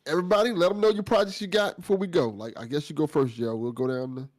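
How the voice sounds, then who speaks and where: A man's voice: on the high side, fairly even intonation, quick pace, a little mellow. A man hosting a casual after-show chat, passing the talk from one guest to the next.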